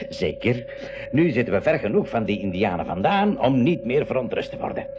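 An actor playing an enthusiastic, emphatic professor and expedition captain in a school radio play. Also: male voice, medium pitch, sing-song, normal speed, lightly acted. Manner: exclamatory, emphatic. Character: enthusiastic adult professor, proud captain of his craft. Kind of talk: 1980s Dutch-language school radio play acting. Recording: noisy, dull 1980s radio recording.

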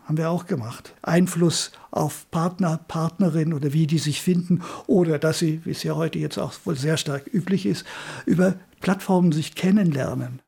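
A man's voice: fairly high pitch, moderate pace, warm tone. An older man talking reflectively in an interview about his work as a radio director. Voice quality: husky, creaky. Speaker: retired radio drama director being interviewed.

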